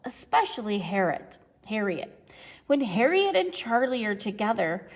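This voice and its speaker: A woman reading a children's picture book aloud with deliberate, expressive phrasing. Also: fairly high pitch. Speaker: storybook reader. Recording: echoey, long reverb.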